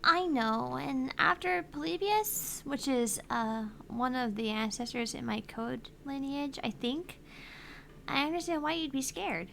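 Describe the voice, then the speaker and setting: A woman's voice: sits high, animated, measured pace, creaky, a bit bright, a little hiss or hum, heavily acted. A woman reading the lines of a cheerful female AI phone assistant aloud, earnest and a little pleading. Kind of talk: reading game dialogue aloud.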